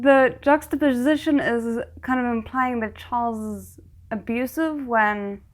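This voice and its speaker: A woman hosting a reaction video, giving casual, animated commentary and reacting aloud as she watches a series. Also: a little high.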